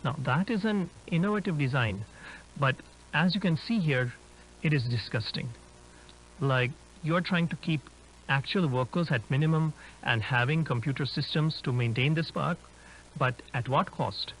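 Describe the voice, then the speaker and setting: Man acting as higher-pitched found-footage explorer, humming noise. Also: moderate pace, a bit bright, close to an everyday voice, low-quality recording. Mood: disgusted, indignant. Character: inquisitive male urban-explorer filming a dinosaur park. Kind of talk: handheld found-footage narration, explanatory.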